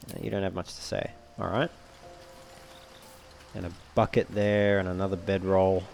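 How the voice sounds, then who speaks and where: A man's voice: low voice, vocal fry, a little mellow. A man giving casual commentary over a video game, sometimes reading item descriptions aloud. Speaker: let's play host.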